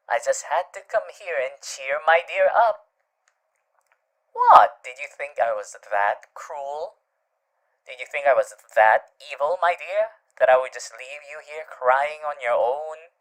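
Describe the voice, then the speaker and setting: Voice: mid-range voice, a bit bright, poor audio. An actor voicing a suave, theatrical male demon who soothes the listener tenderly in an ASMR roleplay, often dropping to murmurs. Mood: gently reassuring.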